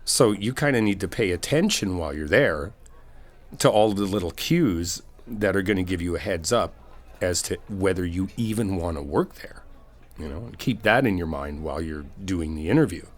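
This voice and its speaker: Male, low-pitched and lively in intonation. Laid-back best friend played by a man for comfort audio roleplay, informal, supportive, rambling advice. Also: normal speed. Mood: reassuring, encouraging. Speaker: ASMR roleplay performer. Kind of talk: casual conversational comfort ASMR roleplay.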